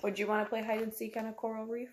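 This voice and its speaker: A woman's voice: fairly high pitch, little pitch movement, rapid-fire, noisy background. A woman, reading a picture book aloud like a teacher, explaining simply to young children and asking them questions. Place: small room at home, indoors.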